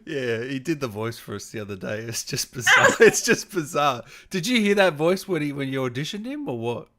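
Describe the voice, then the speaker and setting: Male livestream host, mid-pitched, informal conversational interviewing. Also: sing-song, brisk, sharp tone. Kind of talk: casual livestream interview chat.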